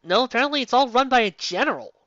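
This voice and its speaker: A woman's voice: fairly high pitch, lively intonation, quick pace, a bit bright, no echo, dull audio. A woman giving casual, unscripted fan fiction commentary, incredulous and exasperated, often stopping to rephrase and asking rhetorical questions.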